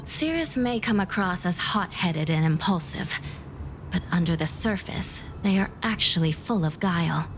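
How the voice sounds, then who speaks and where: A woman playing a composed, serious judge in a video game, speaking in a measured, formal way. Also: lowish voice, lively intonation, a little hiss or hum, natural voice. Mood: grave, analytical. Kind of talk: video game character acting. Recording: game music behind the voice.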